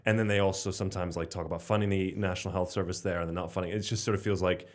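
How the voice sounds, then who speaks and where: Fast-talking deep-voiced young man. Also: steady tone. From Slovenia. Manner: quick, rambling, frequent fillers and restarts. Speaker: podcast guest, comedian. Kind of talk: casual conversation.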